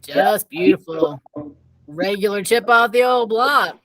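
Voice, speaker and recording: A woman's voice: medium pitch, animated, a little slow, no echo. A woman voicing a friendly, patient male dentist who coaxes a small child in a storybook. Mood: encouraging. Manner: coaxing, patient.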